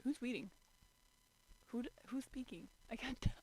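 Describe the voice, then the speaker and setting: A woman's voice: fairly high pitch, normal speed, darkish tone, breathy edge, rough recording. A woman giving an informal, amateur dramatic reading of a story as narrator. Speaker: amateur fan fiction reader.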